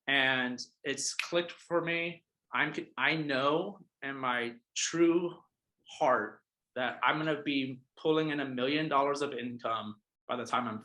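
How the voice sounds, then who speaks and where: Bright-voiced man giving an emphatic motivational talk. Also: mid-range voice, rough recording. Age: 30s. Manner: emphatic, repeats points, often adds "all right?". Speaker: realtor coaching other realtors. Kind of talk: casual motivational coaching talk. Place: office.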